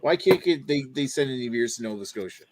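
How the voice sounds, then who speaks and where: A man's voice: mid-range voice, lively intonation, quick pace, noisy background. Give me a casual male host who jokes and steers the panel along. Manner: loose, swearing, leads the show. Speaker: host of a beer review panel show. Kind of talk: casual chat.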